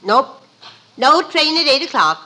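Casting: dithering, querulous ticket-window clerk, old-time radio comedy, sharp voice with lively swings. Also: female voice, high-pitched, unhurried, full character voice. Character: muddled, grumpy female train station information clerk. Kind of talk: 1950s radio comedy acting. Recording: noisy vintage radio recording.

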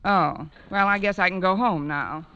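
An American actress playing a witty, kindly woman teacher with dry deadpan delivery in a vintage radio comedy. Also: on the low side, moderate pace, lo-fi sound. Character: wry, witty, kindly female high school English teacher. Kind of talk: 1940s-50s American radio comedy acting. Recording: noisy vintage radio broadcast.

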